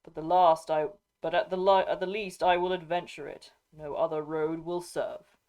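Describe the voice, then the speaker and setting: A woman's voice: sits low, flat delivery, moderate pace, a little mellow, close to an everyday voice. A woman narrator takes on a grown lord and ranger from a fantasy storybook. The delivery is stern and resolute, solemn in its old-fashioned, formal wording. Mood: firm, resolute. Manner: solemn, firm, archaic formal phrasing. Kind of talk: dramatic audiobook character reading.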